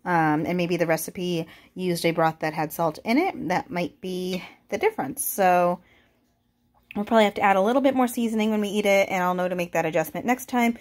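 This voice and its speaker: A woman vlogging casually as she cooks at home, chatty and relaxed with frequent ums, her voice a little congested. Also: fairly low pitch, a little fast. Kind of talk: casual kitchen vlog narration. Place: home kitchen.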